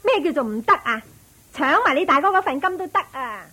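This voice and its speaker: An actress playing a scheming, nagging, greedy wife in a radio drama, with a Hong Kong Cantonese accent. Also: female voice, sits high, big pitch swings, brisk, sharp tone, exaggerated voice. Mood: scornful goading.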